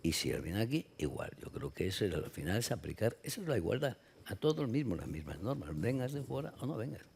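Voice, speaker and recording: A man's voice: lowish voice, big pitch swings, brisk, vocal fry, darkish tone, slight background noise. A man giving his opinion off the cuff in a panel debate, emphatic and addressing listeners directly. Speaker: conference panelist.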